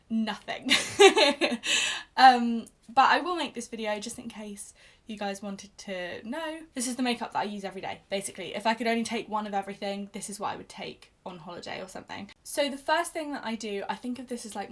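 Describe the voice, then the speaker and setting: A young British woman chatting casually while doing her makeup on camera, self-deprecating, with frequent fillers. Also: mid-range voice. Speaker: beauty vlogger. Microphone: camera in front of her.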